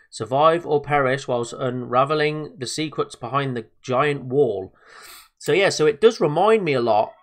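A man with a slightly stuffed-up voice from a cold, hosting casual let's-play commentary in a chatty, hesitant way. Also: mid-range voice. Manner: chatty, hesitant, lots of fillers and self-talk. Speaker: gaming video host.

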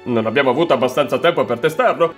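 A man's voice: medium pitch, very fast, soft background music, close to an everyday voice. A man playing a hesitant, understated young scientist in an Italian cartoon parody dub. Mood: apologetic, hesitant. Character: young male lab professor, hesitant Pokémon scientist.

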